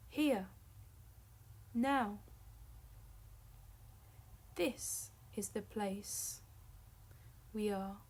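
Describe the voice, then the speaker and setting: A woman narrating a guided meditation as a teacher, in measured short phrases separated by long pauses. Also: on the high side, a little mellow.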